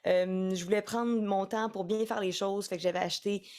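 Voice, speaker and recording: A woman's voice: medium pitch, fairly even intonation, normal speed, darkish tone. A young woman with a Quebec French accent, a singer-songwriter chatting casually in an interview and often restarting her words.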